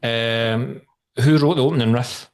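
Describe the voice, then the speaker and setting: A man's voice: mid-range voice, steady tone, measured pace. A man interviewing a band over a video call, asking long rambling questions with many ums.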